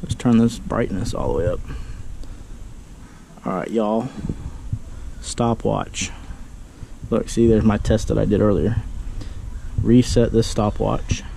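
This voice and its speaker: A man's voice: lowish voice, fairly even intonation, slow, gravelly, pauses often, dark tone, a little hiss or hum. A man casually walking viewers through a hands-on demonstration outdoors, talking while he works with the camera in his hand. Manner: chatty, talks while working, addresses viewers. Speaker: pressure washing business owner. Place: outdoors under a metal carport shelter. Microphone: handheld action camera, point of view. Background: rain and running water.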